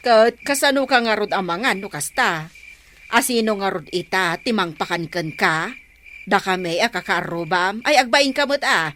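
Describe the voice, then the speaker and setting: A scolding yet soft-hearted female storekeeper in an Ilocano radio comedy drama. Her voice is bright and forceful, nagging in an Ilocano accent, over faint background noise. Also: medium pitch, lively intonation, moderate pace.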